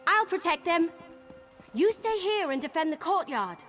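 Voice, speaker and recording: A woman's voice: very high voice, very animated, moderate pace, a bit bright, faint music behind, exaggerated voice. A woman voicing a plucky, chatty young female archer in a video game, candid and earnest. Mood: urgent. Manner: chatty, self-deprecating, earnest. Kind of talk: video game character acting.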